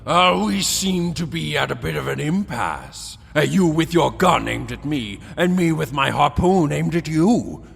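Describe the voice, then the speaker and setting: An actor playing a rambling, theatrical villain admiral in an audio drama, grandiose with hesitant, dithering asides. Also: male voice, on the high side, expressive, unhurried, vocal fry, hardly any pauses, a bit bright, slightly rough, full character voice. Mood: theatrical, wary. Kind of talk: audio drama acting in old-fashioned adventure style. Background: music.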